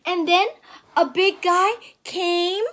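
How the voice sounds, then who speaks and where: Woman putting on a high, tearful little storybook pig, excitable, wails when upset, unhurried. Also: heavily acted. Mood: eager. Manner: wailing, tearful. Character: small excitable female pig, easily upset.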